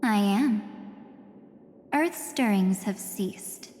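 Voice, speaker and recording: An actress playing a cold, self-assured, enigmatic female antagonist in a video game, speaking in measured, coolly threatening phrases. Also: medium pitch, animated, a little mellow. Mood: cool, dismissive. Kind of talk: dramatic video game voice acting. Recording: long cavernous reverb.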